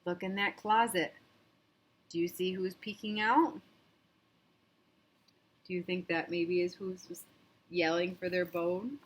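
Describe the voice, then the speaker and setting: A woman's voice: on the low side, fairly even intonation, slow pace, pauses often, slight background noise. A woman reading a storybook aloud to young children, narrating expressively in an interactive, explanatory way.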